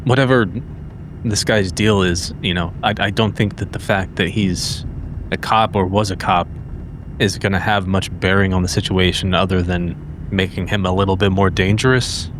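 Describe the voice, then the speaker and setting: A man's voice: sits low, fairly even intonation, normal speed, background noise. A man improvising in character as a tabletop game player, with a blunt, hard-boiled way of talking.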